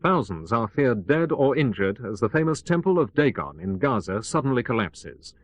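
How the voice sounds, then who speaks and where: An actor playing a composed, serious radio news anchor reading a scripted bulletin. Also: male voice, mid-range voice. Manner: formal, composed. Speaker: newsreader.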